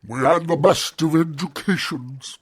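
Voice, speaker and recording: A man playing a sorrowful, self-pitying male sea creature who speaks slowly and tearfully. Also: on the high side, husky, dry recording, voice pushed up. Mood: mournful. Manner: slow, drawn-out, tearful delivery.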